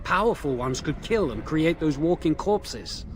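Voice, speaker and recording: A man's voice: on the high side, lively intonation, measured pace, a little hiss or hum. An actor playing a remorseful, anxious young male blood mage who pleads hesitantly, in video game dialogue. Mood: explaining.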